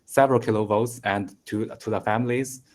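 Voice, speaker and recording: A man in his thirties whose native language is Mandarin, speaking English slowly in a conversation lesson with many fillers and restarts. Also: lowish voice, steady tone, a little mellow. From China. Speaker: engineering student.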